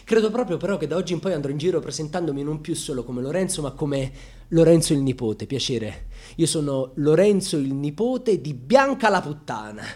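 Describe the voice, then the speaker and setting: Proud, hurt grandson, radio drama monologue; husky, highly dynamic delivery from hushed confiding to fierce. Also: male voice, animated, brisk. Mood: indignant, defiant.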